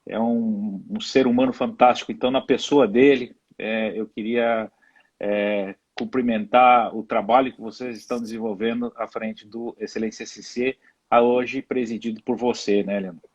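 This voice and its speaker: A middle-aged man from Rio Grande do Sul with a gaúcho accent, speaking as a guest on a video-call live stream in a warm but halting way. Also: mid-range voice, steady tone, slightly rough, dull audio. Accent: gaúcho Brazilian Portuguese. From Rio Grande do Sul, Brazil.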